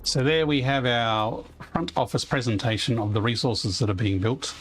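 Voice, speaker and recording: A man with a loud voice chatting casually on a live game stream, rambling as he plays and reading chat aloud. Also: medium pitch, normal speed.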